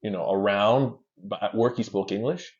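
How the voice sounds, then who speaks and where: Low-voiced Canadian man telling stories. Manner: animated, repeats words in quick restarts. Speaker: interview guest. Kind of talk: casual chat, storytelling. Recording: dull lo-fi sound.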